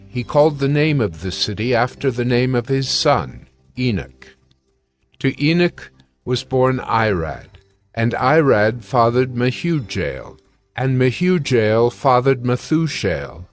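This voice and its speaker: Slow, measured male scripture narrator. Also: medium pitch, big pitch swings, soft background music. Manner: measured, solemn.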